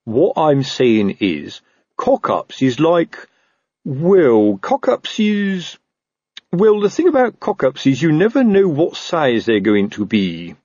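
A man's voice: on the high side, very animated, a little mellow, no room sound, highs cut off, voice pushed up, full character voice. Man as a miserable, moaning police sergeant. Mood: anxious. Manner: moaning, halting, rambling explanations. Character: anxious, miserable, long-suffering male police sergeant.

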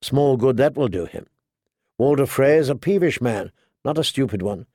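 Man voicing a sober, measured noblewoman. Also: medium pitch, lively intonation, a little mellow, no echo, studio quality, lightly acted. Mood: reasoning, dry. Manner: measured, formal, cautioning. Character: composed, sober noblewoman, older sister. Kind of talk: audiobook character dialogue.

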